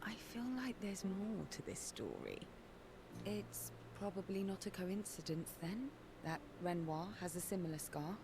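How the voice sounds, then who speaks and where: A woman's voice: lowish voice, very animated, unhurried. A woman playing a cool, probing, teasing companion in naturalistic video game dialogue, terse and understated. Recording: noisy ambience behind game dialogue.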